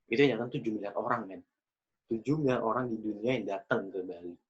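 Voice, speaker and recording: A man of about twenty, hosting a casual podcast chat, chatty and quick to laugh. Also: fairly low pitch, husky. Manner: chatty, laughs often, loose slangy talk. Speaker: podcast host. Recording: dull lo-fi recording.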